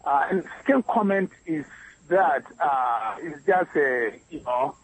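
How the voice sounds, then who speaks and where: A man from Ghana with a Ghanaian accent who calls in to a radio show and speaks earnestly, pausing often with hesitant fillers. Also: on the high side, expressive, husky, no echo, low-quality recording. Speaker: caller. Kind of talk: phone-in conversation.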